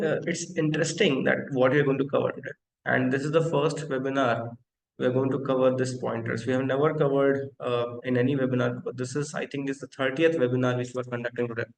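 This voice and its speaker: A man in his thirties teaches a technical webinar over a computer mic. His voice has a gravelly edge and sits at a middle pitch. The room sounds echoey, with faint noise behind him. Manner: interactive, asks the audience questions, many fillers. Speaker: webinar host and company founder. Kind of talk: live online technical lecture.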